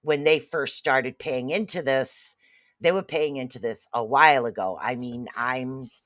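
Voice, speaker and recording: A host in their late fifties talks loosely through viewer comments, circling back and repeating phrases. The voice sits at a middle pitch, and the recording is dry with no room sound. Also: unhurried, fairly bright tone, no echo. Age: 50s. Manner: rambling, repeats phrases, frequent "literally" and "okay?". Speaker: livestream host.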